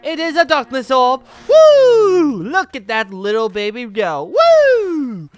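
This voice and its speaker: A man's voice: extremely high pitch, wildly swooping pitch, takes it slowly, heavily acted. A man playing an exuberant, boastful dragon-slayer wizard in a Minecraft roleplay, speaking in a pompous, genteel and theatrical manner. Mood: gleeful, boastful. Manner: theatrical, flamboyant, cackling, pompous. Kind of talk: improvised Minecraft fantasy roleplay acting.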